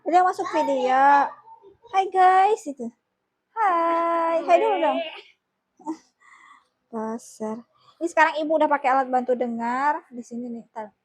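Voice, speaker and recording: A woman's voice: sits high, measured pace, long pauses. A middle-aged woman, chatting informally and giggly to viewers and family in a casual home vlog. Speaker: family vlogger and mother.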